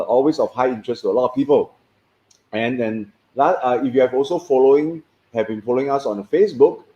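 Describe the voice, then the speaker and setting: A man's voice: medium pitch, warm tone, muffled recording. A man, hosting a casual stream and rambling with lots of fillers and restarts. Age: 50s. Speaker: host. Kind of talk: casual live-stream chat.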